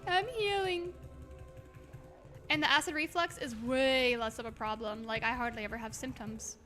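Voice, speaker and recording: Woman game streamer chatting to viewers, high bright voice, bit hoarse, gushy and expressive, music behind her. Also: normal speed. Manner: expressive, exclaims often, gushes affectionately. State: slightly hoarse, by own account. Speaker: livestream host. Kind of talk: casual game livestream chat, reading viewer messages aloud.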